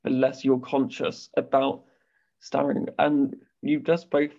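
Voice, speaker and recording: A man's voice: mid-range voice, level tone, a little slow, mellow, muffled recording. A young man who stammers, interviewing casually and sharing his own experience, with frequent restarts. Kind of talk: casual conversation.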